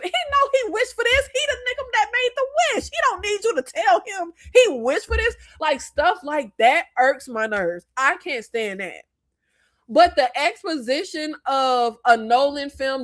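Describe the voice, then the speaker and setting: An animated young woman with a Floridian Southern lilt chats through movie talk, with a fairly high voice. She is emphatic and often jumps way up in pitch when something annoys her. The sound is close and dry. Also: dry recording. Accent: Floridian Southern slang. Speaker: live stream host discussing movies. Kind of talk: casual live chat reading viewer comments.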